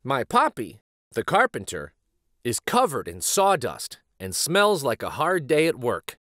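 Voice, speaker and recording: A man in his forties reading a children's picture book aloud in an expressive, deliberate storytelling style. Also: medium pitch, no echo, studio quality. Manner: animated, deliberate storytelling delivery.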